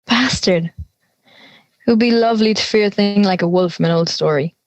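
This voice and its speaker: A woman's voice: mid-range voice, moderate pace, no room sound, lightly acted. A young woman acting an anxious schoolgirl in an audio drama, confiding in a nervy storytelling way. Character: anxious schoolgirl confiding her dreams and fears. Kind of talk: audio drama monologue and dialogue.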